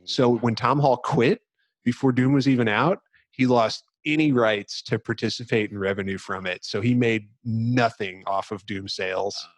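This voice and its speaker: A man's voice: mid-range voice, steady tone, moderate pace, dry recording. A man hosting a casual video game discussion, explaining things in a loose, rambling, hesitant way. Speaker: podcast host.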